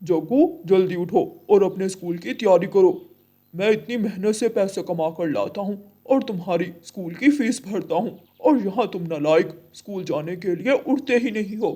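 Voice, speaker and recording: A woman voicing a stern, threatening father crow in a cartoon, gruff and scolding. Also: mid-range voice, moderate pace, warm tone, voice pushed down, natural voice. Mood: angry scolding.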